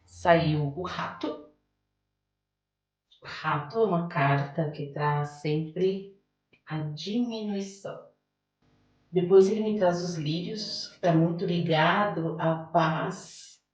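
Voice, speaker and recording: A woman's voice: on the low side, slow pace. A Brazilian woman explaining things as a card reader and interview guest, repeating phrases for emphasis. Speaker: podcast guest, oracle card reader and holistic therapist. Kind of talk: card reading and interview answers. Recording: echoey room with music behind.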